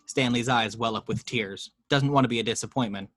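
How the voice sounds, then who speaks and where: A man's voice: medium pitch, a little fast, a bit bright, dry recording, studio quality. A man narrating the action lines at a home table read, with chatty asides to the listeners.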